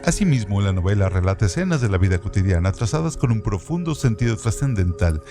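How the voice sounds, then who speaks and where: A man presenting a scripted trivia segment on a radio show in a clear, informative way. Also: lowish voice, big pitch swings, fast. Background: music bed.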